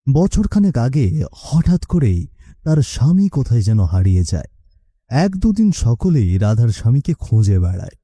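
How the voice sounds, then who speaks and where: A man's voice: medium pitch, dark tone, husky, dry recording, clean studio sound. A man narrating a scripted story as its storyteller.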